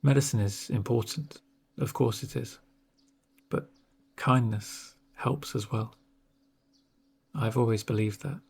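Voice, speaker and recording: A man speaking softly and gently in an ASMR roleplay as a reassuring, unhurried doctor on a home visit. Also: mid-range voice, steady tone, vocal fry, a little hiss or hum. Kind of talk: soft-spoken ASMR roleplay.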